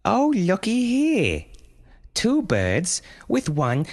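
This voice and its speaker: A man's voice: high voice, wildly swooping pitch, extremely slow, voice pushed up, heavily acted. A man voicing a cocky, possessed eight-year-old boy who sneers and gloats in slang. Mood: gloating.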